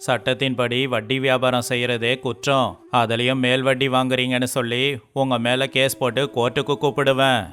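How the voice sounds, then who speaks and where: A man's voice: medium pitch, little pitch movement, a little fast, fairly bright tone, clean tone, lightly acted. A man voicing a proud, stubborn, book-learned young village man in a cartoon moral story, lecturing emphatically. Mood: defiant. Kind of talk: animated moral story dialogue.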